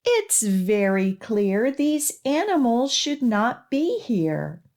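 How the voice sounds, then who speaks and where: A woman's voice: fairly high pitch, big pitch swings, slow, a little mellow, full character voice. A woman voicing exasperated, scolding school staff in a children's storybook, emphatic and indignant. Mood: disapproving.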